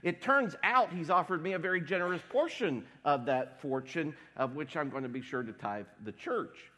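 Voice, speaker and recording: Congested pastor in a reverberant hall. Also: male voice, on the high side, normal speed. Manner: wry, deadpan humor. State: congested with a cold. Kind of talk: sermon.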